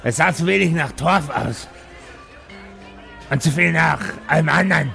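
Man playing a blunt, creaky Bavarian tavern regular. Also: a little high, moderate pace, bright, forceful tone, faint music behind, some chatter behind, heavily acted. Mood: gruff. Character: grumpy, crude Bavarian tavern card player, working man.

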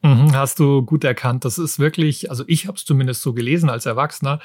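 A man's voice: medium pitch, steady tone, fairly quick, a little mellow, no room sound, studio quality. A man hosting a book show, presenting reviews in a partly scripted narrating style. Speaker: book podcast host and reviewer.

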